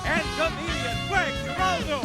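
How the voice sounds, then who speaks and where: High-pitched announcer man over band music. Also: normal speed. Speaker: show announcer. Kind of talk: big late-night TV announcing. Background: loud swing band music.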